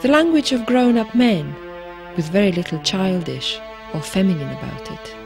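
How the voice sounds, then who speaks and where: A woman giving measured, scripted documentary narration. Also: medium pitch, big pitch swings, vocal fry, music in the background.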